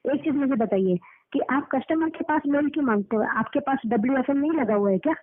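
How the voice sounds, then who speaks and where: High-pitched, confrontational woman on phone. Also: quick pace. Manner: confrontational, lecturing, repeats phrases for emphasis. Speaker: advocate arguing on behalf of a customer. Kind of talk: argumentative phone call, questioning. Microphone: telephone. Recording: low-fidelity recorded phone call.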